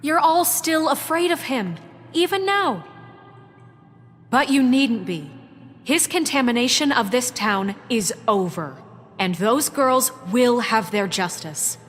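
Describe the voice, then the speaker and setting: A woman's voice: on the high side, lively intonation, slow pace, fairly bright tone. An actress playing an earnest, firm woman leader of a troubled town in a video game, speaking formally and resolutely. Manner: formal, resolute, pleading for trust. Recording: echoey hall, music behind.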